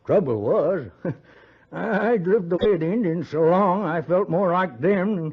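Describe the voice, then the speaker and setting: High-voiced old Western frontiersman. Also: unhurried, breathy edge, heavily acted. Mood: reminiscing, proud. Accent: American Western. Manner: folksy drawl, rambling, chuckling, chatty. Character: spry, boastful old male frontiersman of seventy. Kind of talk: 1950s radio Western acting. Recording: dull, hissy 1950s radio recording.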